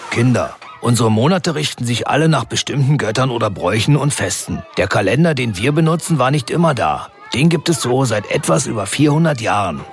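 A man's voice: medium pitch, lively intonation, fairly quick, few pauses, natural voice. A man playing a dry-witted kindergarten director. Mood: explaining. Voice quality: dry, mocking remarks. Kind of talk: children's radio comedy.

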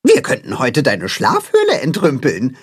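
A man's voice: medium pitch, wildly swooping pitch, moderate pace, husky. A man voicing a cheerful, helpful little male cat-chicken creature in a children's audiobook. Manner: eifrig, freundlich. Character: fröhliches kleines männliches Katzenhuhn, hilfsbereit.

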